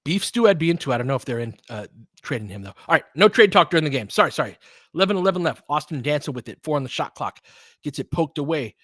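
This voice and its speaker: A man's voice: fairly high pitch, lively intonation, fast, fairly bright tone, no echo, studio quality. A man giving informal live basketball play-by-play as a fan-facing host, opinionated and conversational, in clipped phrases.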